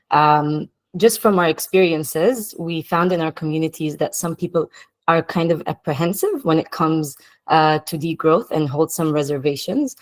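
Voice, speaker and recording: A woman from Egypt and Palestine speaks as co-host on a video call. Her voice is clearly on the low side for a woman. She explains and introduces things for an online discussion.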